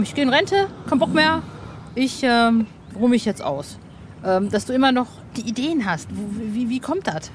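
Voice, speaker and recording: A woman hosting a podcast interview in an informal, enthusiastic, chatty way. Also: a little high. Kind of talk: casual, chatty podcast talk. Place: outdoor roof terrace. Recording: clear background noise, outdoor construction site. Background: construction and city noise.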